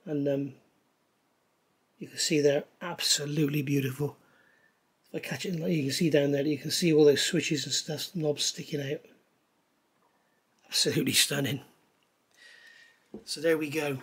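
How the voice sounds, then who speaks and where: A man's voice: a little high, a little slow, long pauses, pushed and bright, breathy edge. A middle-aged man casually reviewing scale model parts at his table, chatty and enthusiastic, with lots of ums and gushing praise. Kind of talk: casual hands-on product review. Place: indoors at a table.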